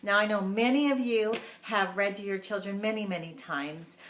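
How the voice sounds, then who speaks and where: A woman speaking as a teacher, giving instructional tips to parents in an animated, emphatic manner. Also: mid-range voice, normal speed. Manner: animated, emphatic, acts out example questions. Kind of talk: instructional talk to camera. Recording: echoey room sound.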